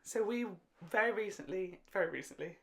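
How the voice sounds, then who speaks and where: Give the voice of a British woman co-hosting a casual podcast. Her pitch is mid-range but jumps around a great deal as she talks. She is playful and jokey, and states her opinions freely. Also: expressive, a little fast, gravelly, crowd noise. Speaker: podcast co-host.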